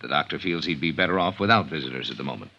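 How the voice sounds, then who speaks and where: An actor playing an officious, polite hospital attendant in a 1950s radio drama, speaking firmly and formally. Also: male voice, very deep voice, expressive, rapid-fire. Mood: politely firm. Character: officious, polite male hospital attendant turning visitors away. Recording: dull, noisy 1950s radio recording.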